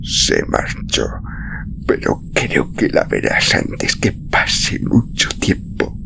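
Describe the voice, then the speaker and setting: A man playing an exhausted, gently protective traveler near death in a storybook reading, murmuring slowly in a low, breathy, gravelly voice. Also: natural voice. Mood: weary, tender. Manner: slow, halting, tender. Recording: clearly noisy recording.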